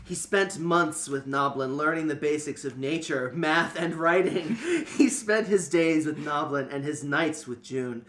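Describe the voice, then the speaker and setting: A narrator reading a story aloud for amateur reader's theater in a deliberate, slightly halting way. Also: medium pitch, fairly bright tone.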